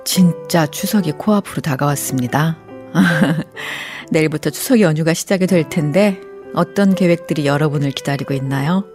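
A woman hosting a morning radio show, chatting gently with listeners, reading their messages and sometimes dropping to a whisper. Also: deep voice, moderate pace, faint music behind. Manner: soft, gentle and chatty, often drops to a whisper and laughs lightly. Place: radio studio.

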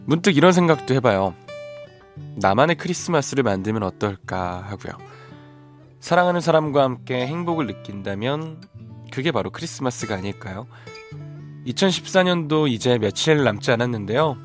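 A man's voice: medium pitch, moderate pace. A man, a ballad singer, hosting a live radio show in a studio and chatting casually between listener messages. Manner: relaxed, chatty, self-deprecating. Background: faint guitar music.

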